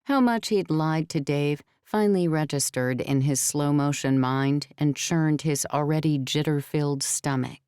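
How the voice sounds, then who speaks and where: Female audiobook narrator: deep for a woman, crisp scripted storytelling, very dry studio recording. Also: measured pace, hardly any pauses, darkish tone, dry recording.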